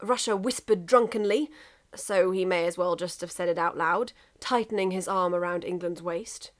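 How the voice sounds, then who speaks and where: A woman reading a scripted story aloud as an expressive narrator. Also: fairly low pitch, moderate pace. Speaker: narrator, fan fiction reader.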